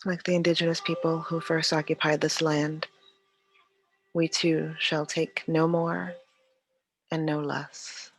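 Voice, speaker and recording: Creaky-voiced guided meditation teacher. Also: medium pitch. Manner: calm, soothing, unhurried with long pauses. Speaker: yoga nidra teacher.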